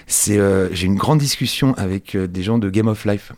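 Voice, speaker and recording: A man hosting a conversational arts interview, speaking in halting, digressive phrases as he feels his way to each question. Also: fairly low pitch, darkish tone. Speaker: radio show host interviewing guests.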